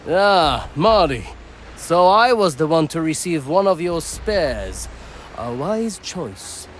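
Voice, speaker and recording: An actor playing a courteous, proud assassin who cooks aboard a ship in a video game, formal and measured. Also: male voice, on the high side, very animated, slight background noise. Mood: composed. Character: courteous, proud Japanese assassin and ship's cook.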